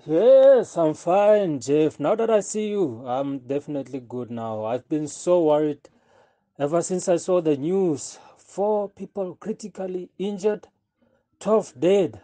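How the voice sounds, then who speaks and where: A man's voice: a little high, lively intonation, measured pace, mellow, no room sound. A man playing an effusively concerned acquaintance in an audio drama, emphatic and given to repeating himself. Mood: eager concern. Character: effusively concerned male acquaintance receiving a man at his office.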